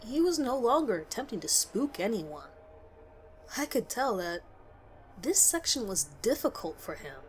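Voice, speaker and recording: A woman's voice: on the high side, big pitch swings, a little slow, low-quality recording. Woman narrating a horror story over noise.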